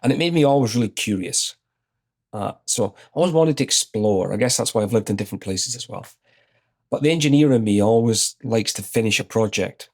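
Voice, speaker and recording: Low-voiced Scottish man telling a story. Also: brisk. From Scotland. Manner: relaxed anecdotal, retells his own exchanges. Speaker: executive guest. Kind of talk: conversational interview storytelling.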